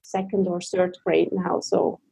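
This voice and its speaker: Swiss woman with a mellow voice. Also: fairly low pitch, level tone, a little slow, creaky, husky, rough recording. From Switzerland. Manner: hesitant, searching for English words. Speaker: guest. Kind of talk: casual interview chat.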